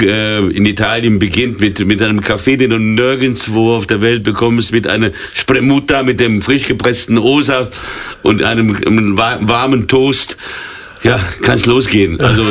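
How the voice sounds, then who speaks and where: A man's voice: medium pitch, fairly bright tone. Wry retired football commentator in his sixties. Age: 60s. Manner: anecdotal, wry, many fillers and restarts. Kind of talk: casual radio interview chat.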